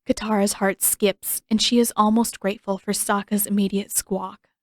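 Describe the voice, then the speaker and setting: A woman reading story narration in a measured, expressive storytelling manner. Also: mid-range voice, dry recording, studio quality. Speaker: fan fiction narrator.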